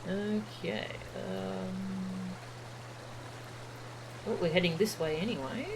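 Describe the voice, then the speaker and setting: A woman's voice: mid-range voice, unhurried, gravelly, noisy background. A woman chatting casually while streaming a video game, in a playful, easygoing manner. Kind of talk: casual livestream gameplay chat, reading game text aloud. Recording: game music behind the voice.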